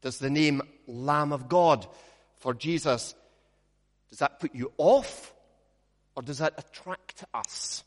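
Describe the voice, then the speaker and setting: A Scottish man, a minister, leads a prayer and then preaches, telling stories with a measured pace and gentle humor. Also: on the high side, long reverb tail. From Scotland. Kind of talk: sermon with opening prayer.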